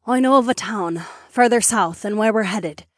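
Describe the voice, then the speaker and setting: A woman's voice: mid-range voice, moderate pace, no room sound, clean studio sound, lightly acted. A woman voicing a composed, candid, drily cautious female mage in audiobook dialogue. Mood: thoughtful.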